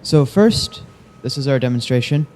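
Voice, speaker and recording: A young man from Ohio demonstrates a device step by step. His tone is dark and mellow, with faint room ambience behind him. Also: mid-range voice, animated, normal speed, a little hiss or hum. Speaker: student presenter.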